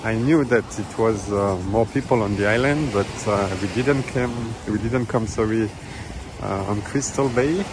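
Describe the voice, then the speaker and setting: A man's voice: fairly low pitch, unhurried. A man casually commenting as he films a walking tour on a beach, chatting in slightly non-native phrasing with fillers. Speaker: travel vlogger. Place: outdoors on a tropical beach. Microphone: handheld phone camera. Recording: outdoor phone audio with surf noise. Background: waves and wind.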